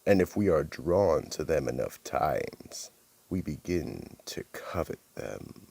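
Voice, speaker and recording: A man playing a sinister keeper of a vault, narrating radio mystery theater in an ominous, theatrical, gravelly voice. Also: very deep voice, big pitch swings, takes it slowly, warm tone, heavily acted. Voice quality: gravelly, husky. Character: sinister slow male horror host, keeper of a vault. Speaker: host and narrator.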